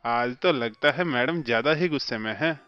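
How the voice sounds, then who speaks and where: A man's voice: medium pitch, normal speed, clear, resonant tone, no echo, muffled recording, soft background music, natural voice. A man voicing a lazy, boastful crow husband in a children's cartoon, flippant and self-important. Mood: grumbling. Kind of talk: Hindi cartoon voice acting for children.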